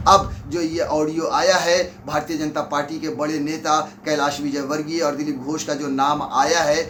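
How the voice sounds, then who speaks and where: A man's voice: on the high side, fairly bright tone. A man presenting opinionated news commentary, addressing viewers directly in an accusatory, rhetorical way. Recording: echoey room, clear background noise.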